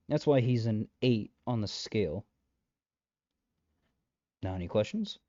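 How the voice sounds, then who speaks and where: A lowish, mellow male voice acted by a man plays a chatty, exasperated matchmaker in a comedic audio drama. He speaks directly to the listener in wry, conversational asides full of dry understatement. The recording is close but slightly dull. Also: no echo. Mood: dry, explanatory, then incredulous. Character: exasperated, chatty male matchmaker peddling possessive lovestruck suitors.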